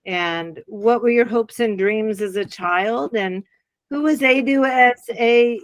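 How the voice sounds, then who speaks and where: A woman's voice: fairly high pitch, slow pace, no echo. A woman hosting an interview and asking open, encouraging questions. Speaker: interview host.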